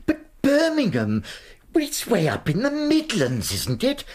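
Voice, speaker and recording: A fussy, timid old collector in a radio drama, with a breathy, husky voice. He stammers and speaks slowly, and his pitch leaps about as he grows flustered. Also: wildly swooping pitch, a little mellow, exaggerated voice. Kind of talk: 1990s radio drama acting.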